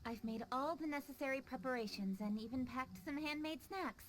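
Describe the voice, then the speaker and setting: A woman's voice: high voice, a little fast, a little hiss or hum, lightly acted. An actress playing a polite, efficient, dutiful young lady official in a video game cutscene, courteous and formal. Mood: businesslike, friendly. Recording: faint music behind the voice. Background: background music.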